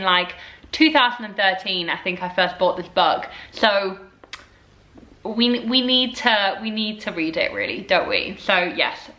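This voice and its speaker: A woman's voice: mid-range voice, sharp tone. A woman in her twenties chatting casually to camera as a book vlogger, rambling with lots of fillers. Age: 20s. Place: indoors at home, in front of bookshelves. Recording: echoey room.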